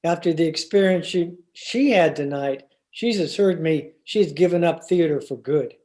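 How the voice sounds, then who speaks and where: An actor playing a weary, long-suffering husband in old-time radio comedy, with a dry manner. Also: male voice, fairly high pitch, moderate pace, warm tone, close to an everyday voice. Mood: polite, firm.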